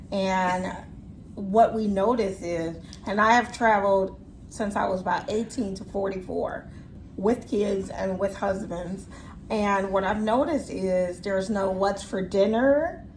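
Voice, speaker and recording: A woman's voice: mid-range voice, darkish tone, a little hiss or hum, rough recording. A woman in her forties, a vlogger chatting casually and reflectively with her sister, often trailing off mid-sentence. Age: 40s. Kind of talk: casual chat.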